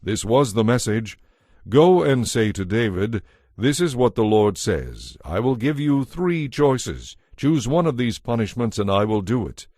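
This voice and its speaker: A man's voice: mid-range voice, very animated, measured pace, a little mellow, dry recording, studio quality. A man giving a scripted, dramatized narration of scripture as the narrator.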